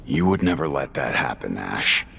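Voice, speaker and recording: A man playing a gruff, impatient warrior in a video game cutscene, speaking tersely and bluntly. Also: very animated, normal speed, a bit bright, a little hiss or hum, exaggerated voice. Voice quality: deep, gravelly.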